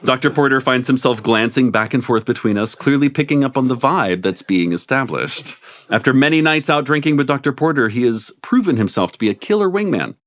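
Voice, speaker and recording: A man's voice: mid-range voice, lively intonation, a little fast, fairly bright tone. A man reading a comic story aloud live, with playful, amused narration. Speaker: story reader. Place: stage before a live audience.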